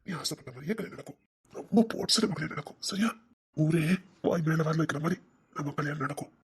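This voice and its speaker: A performer playing a possessive but tender young man in an audio romance drama, speaking softly and coaxingly. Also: medium pitch, quick pace, husky. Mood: pleading, insistent. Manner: soft, hushed, coaxing.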